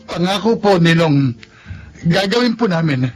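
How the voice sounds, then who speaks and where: A humble, respectful Filipino godson in a radio drama. His high voice stammers with emotion. Music plays clearly behind him. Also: lively intonation, normal speed. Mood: overwhelmed, grateful. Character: humble, grateful, awestruck godson given a business. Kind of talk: Tagalog radio drama acting.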